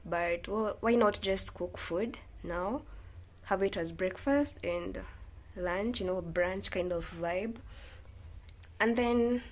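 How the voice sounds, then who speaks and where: A woman's voice: mid-range voice, takes it slowly. A young Kenyan woman with a Kenyan accent, vlogging in a rambling, chatty way and slipping Swahili phrases in. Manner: rambling, self-correcting, mixes in Swahili. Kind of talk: casual chatty vlog.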